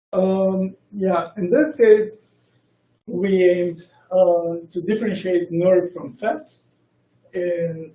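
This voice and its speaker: A man giving an academic science talk as a researcher, speaking in a hesitant, halting way. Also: high-pitched, dark tone. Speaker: researcher presenting a colloquium. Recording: roomy echo, distant microphone.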